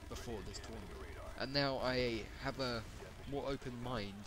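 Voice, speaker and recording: A man's voice: moderate pace, noisy background. A man chatting casually about gaming commentary, rambling and correcting himself as he goes. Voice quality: says he has a high voice.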